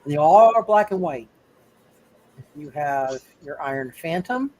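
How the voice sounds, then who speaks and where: A man's voice: a little high, expressive, measured pace, a little mellow, lo-fi sound. A man narrating a live tabletop roleplaying game as game master, casually rambling and repeating his phrases.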